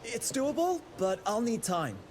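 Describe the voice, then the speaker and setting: A woman's voice: fairly high pitch, big pitch swings, measured pace, a little mellow, a little hiss or hum. A woman playing an awkward, hesitant engineer in a video game cutscene. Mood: hesitant, technical. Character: flustered, awkward female engineer.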